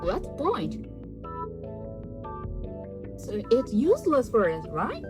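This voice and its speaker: Actress doing a kind easygoing yokai lady, slow and very expressive, highish voice, bit of music behind. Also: full character voice. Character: kind, patient, easygoing young yokai woman. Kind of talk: radio drama acting.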